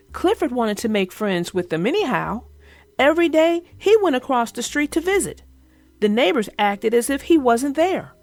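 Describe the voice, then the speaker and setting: Storybook young girl played by a woman, earnest and expressive, high voice, dry sound, telling a children's tale. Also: dry recording, exaggerated voice. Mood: eager, bright. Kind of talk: children's storybook read-aloud.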